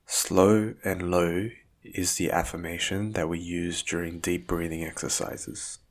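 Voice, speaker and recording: A man with a notably low voice narrates a guided meditation in the role of a physiotherapist. He speaks very slowly, setting out each instruction in short, measured phrases. The delivery is calm and soothing. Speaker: physiotherapist guiding a breathing exercise.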